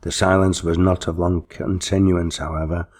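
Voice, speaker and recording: A man reading a story aloud as expressive, theatrical, deliberate scripted narration. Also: low-pitched. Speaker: audiobook reader.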